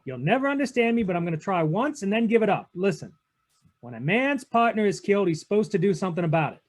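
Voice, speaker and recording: A man's voice: high voice, sing-song, fast talker. A man playing a cynical, unyielding private detective in a 1940s-style radio drama, with a clipped, tough-talking delivery. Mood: stern. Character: hard-boiled male private detective, cynical, unyielding to his lover. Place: online video-call read-through.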